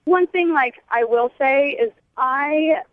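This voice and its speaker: A woman's voice: high-pitched, takes it slowly, no room sound. A woman calling a radio show on a phone line, chatting casually in a hedging way full of filler words. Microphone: telephone.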